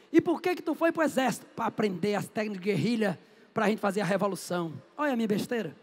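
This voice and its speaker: Casting note: man, high voice, playful teacher posing and answering his own questions, reverberant classroom. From Northeast Brazil. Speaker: history teacher. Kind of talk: lively classroom lecture. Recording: echoey classroom with long reverb.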